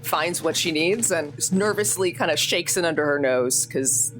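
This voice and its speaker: A woman's voice: deep voice, normal speed, music in the background, poor audio. A woman playing a tabletop roleplaying game, hesitantly describing what her character does in casual narration.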